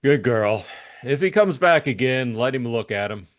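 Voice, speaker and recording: A man's voice: mid-range voice, expressive, moderate pace, lightly acted. A man playing a cool, cynical private detective in an audiobook, speaking in a blunt, sardonic, matter-of-fact way. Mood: curt, wry. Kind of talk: audiobook character acting.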